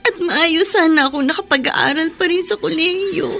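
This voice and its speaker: A woman's voice: very high voice, normal speed, vocal fry, sharp tone, full character voice. An actress playing a remorseful, tearful young daughter who has just become a mother, in a radio drama. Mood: tearful regret. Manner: tearful, respectful, pleading. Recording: music under the voice.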